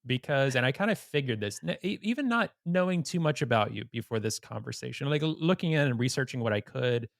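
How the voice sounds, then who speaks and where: A man's voice: mid-range voice, animated, quick pace, creaky, hardly any pauses, dry recording, studio quality. A man hosting a podcast in an informal, blunt conversational manner. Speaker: podcast host. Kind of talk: conversational interview.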